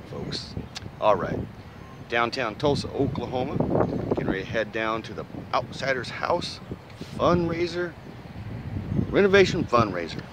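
A man in his forties vlogging casually from a car show, talking straight to his viewers and calling them folks. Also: on the low side. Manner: chatty, keeps addressing folks. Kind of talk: casual vlog narration. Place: outdoor car show, then loud indoor party. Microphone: handheld camera at arm's length. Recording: loud crowd and street noise.

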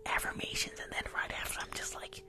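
A woman in her twenties chatting casually with viewers in a soft, breathy ASMR whisper close to a microphone, often repeating her phrases. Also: a little fast. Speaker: ASMR live streamer. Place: small indoor room. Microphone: desk microphone at close range.

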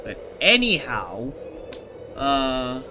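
Male streamer, casual game commentary, slow pace, medium pitch, rambling, repetitive. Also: very animated, over music. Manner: rambling, repeats himself. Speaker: gaming streamer.

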